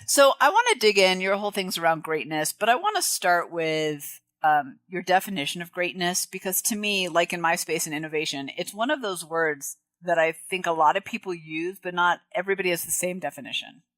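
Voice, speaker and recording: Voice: medium pitch, lively intonation, fairly bright tone, dry recording. A podcast host giving an upbeat, chatty introduction and then interviewing a guest. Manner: chatty, upbeat, quick laughs. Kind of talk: casual interview intro and chat.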